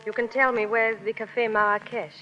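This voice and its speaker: A woman's voice: a little high, flat delivery, soft background music, close to an everyday voice. A woman playing an aloof, independent cabaret singer in a 1930s radio drama. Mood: cool. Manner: reserved, unhurried, aloof. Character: cool, independent foreign cabaret singer, world-weary young woman. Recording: dull vintage 1930s radio recording.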